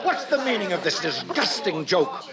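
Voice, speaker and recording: A man's voice: sits high, big pitch swings, normal speed, over a noisy crowd. An actor in a 1950s radio drama playing a haughty, outraged male director.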